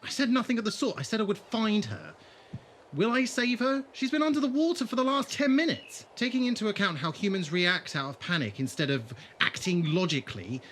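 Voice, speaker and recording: A man as a wry, expressive adventurer. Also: high voice, a little fast, a little hiss or hum. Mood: cold, blunt. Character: wry, commanding male adventurer, blunt and coolly logical. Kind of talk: audio drama acting.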